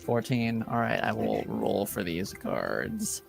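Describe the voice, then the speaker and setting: A man improvising narration as game master of a tabletop game, in halting, hesitant phrases. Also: fairly low pitch, big pitch swings, vocal fry, soft background music.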